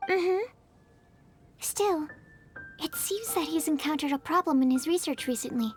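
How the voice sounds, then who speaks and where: High-pitched, polite young shopgirl. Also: lively intonation, unhurried. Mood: admiring. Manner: courteous, admiring, helpful. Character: polite, earnest young female alchemy student shopkeeper. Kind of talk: video game character acting. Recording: music behind the voice.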